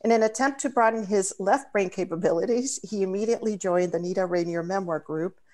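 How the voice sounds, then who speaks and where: A woman's voice: mid-range voice, a little fast, darkish tone. A woman from the Dominican Republic, a native Spanish speaker, hosting a video call event in a thoughtful way with frequent fillers and restarts. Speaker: host.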